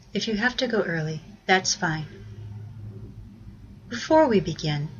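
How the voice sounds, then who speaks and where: A woman narrates a guided meditation in a somewhat low voice. Her delivery is measured and instructive, with the tone of someone reciting prayers. The recording sounds a little dull and lo-fi, like a homemade track. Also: a bit bright.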